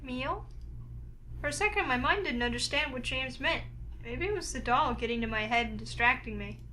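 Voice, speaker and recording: A woman's voice: high voice, animated, normal speed, bright, forceful tone, slight background noise. A woman reading a visual novel aloud, narrating and acting out the heroine's lines. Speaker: game player and narrator.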